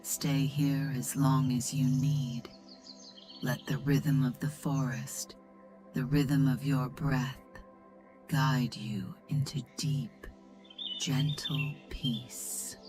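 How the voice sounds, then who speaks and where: A woman narrates in a very deep, soft and breathy voice, close to a whisper. She takes her time, gently guiding the listener as in a bedtime meditation. Faint music and chirping birds sit behind her. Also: fairly even intonation. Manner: soothing, gentle, measured. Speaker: sleep story and meditation narrator.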